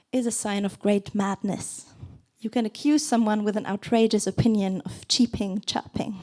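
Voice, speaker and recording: A woman whose first language is not English reads her own poetry to a live audience. Her delivery is soft and measured, with an even, middle-pitched voice. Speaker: poet reading at a book presentation. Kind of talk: poetry reading with brief asides.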